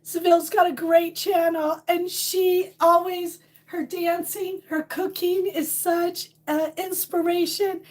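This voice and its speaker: A middle-aged woman with a hoarse voice, chatting casually on a livestream and greeting viewers by name. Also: extremely high pitch. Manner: warm, rambling, reads out viewer names. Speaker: livestream host. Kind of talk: casual live chat with viewers.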